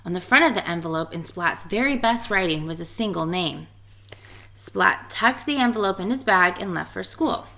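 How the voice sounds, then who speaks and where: A woman reading a children's picture book aloud in an expressive storytelling style. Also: lowish voice, moderate pace, creaky. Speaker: story reader.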